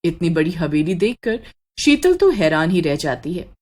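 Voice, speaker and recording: A woman's voice: on the low side, sing-song, normal speed, a little mellow. A woman storyteller reading a scripted horror story.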